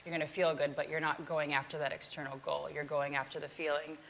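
Low-voiced woman giving a chatty talk. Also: flat delivery, fast talker, fairly bright tone, slight background noise, poor audio. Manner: chatty, self-deprecating, laughs often. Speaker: yoga teacher giving a keynote. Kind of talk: casual presentation with demonstration.

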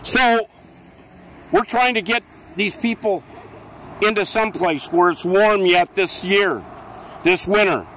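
A man's voice: high voice, slow pace, long pauses, fairly bright tone, a little hiss or hum, rough recording. A man with a friendly, rambling manner, interviewing people outdoors on a town street into a handheld camera.

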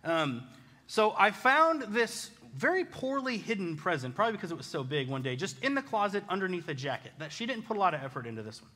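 An American man preaching a conversational sermon, casual, animated and self-deprecating, telling personal stories as a pastor. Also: sits high, a little fast. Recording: reverberant hall.

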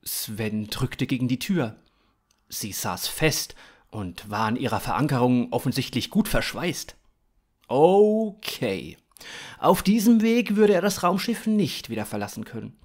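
A man in his thirties narrating scripted fiction in a dramatic, expressive way. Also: medium pitch, unhurried.

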